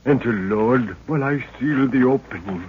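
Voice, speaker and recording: An actor playing a devoted old palace servant in a radio serial, speaking in solemn, archaic, ceremonious English. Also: male voice, mid-range voice, moderate pace, natural voice. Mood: reverent, guiding. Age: older. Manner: archaic, formal, ceremonious speech. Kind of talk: 1940s radio serial acting. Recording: dull, noisy old radio recording.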